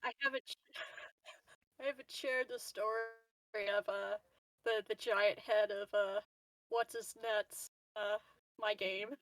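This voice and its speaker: A woman's voice: medium pitch, steady tone, takes it slowly, a bit bright, no echo, rough recording. A woman chatting casually as a player in a tabletop role-playing game. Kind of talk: casual gaming chat.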